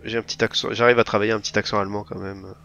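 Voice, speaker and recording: Casual low-voiced player man. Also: level tone, racing, creaky, a bit bright, lo-fi sound. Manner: casual, stammering restarts, slangy. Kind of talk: playing a character in a tabletop game.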